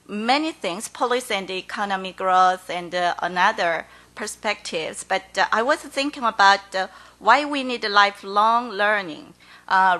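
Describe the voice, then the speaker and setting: A woman with a Korean accent, whose first language is Korean, giving halting, hesitant remarks as a panelist at a conference forum. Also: mid-range voice, pushed and bright.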